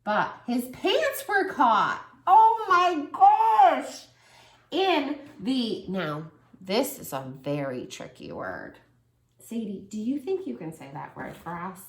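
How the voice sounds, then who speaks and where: A woman reads a picture book aloud for toddlers, pausing often to ask them questions. Her fairly high voice moves in a lively, sing-song way at an unhurried pace. The room around her adds a noticeable echo. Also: vocal fry. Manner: warm, animated, asks children questions. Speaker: children's story reader and teacher. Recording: echoey room.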